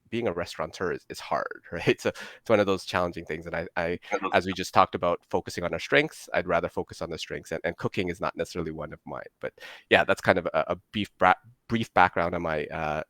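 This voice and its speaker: Man from Indonesia, interview guest on a video call, very deep, dry close sound, cheerful, stammers and restarts. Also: fairly bright tone, no room sound. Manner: upbeat, stammering restarts, self-deprecating. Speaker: guest entrepreneur. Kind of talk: interview conversation.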